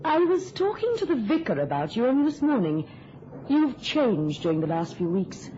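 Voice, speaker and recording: A suspicious churchgoing woman in a vintage radio drama. Her fairly high voice swoops as she probes insistently. The old recording is muffled, with clear noise behind her. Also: sing-song, moderate pace, warm tone. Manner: probing, insistent.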